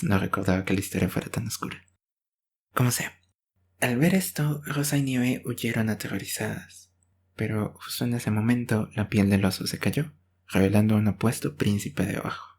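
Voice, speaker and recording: A man's voice: lowish voice, darkish tone, slightly rough. A man telling a bedtime story in intimate ASMR style, soothing and affectionate like a boyfriend. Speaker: ASMR roleplay performer, storyteller.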